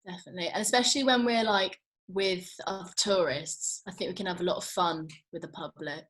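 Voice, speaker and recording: A woman's voice: lowish voice, brisk, fairly bright tone, rough recording. A young woman, an actor, chatting casually in an agreeable, informal way. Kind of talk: casual chat.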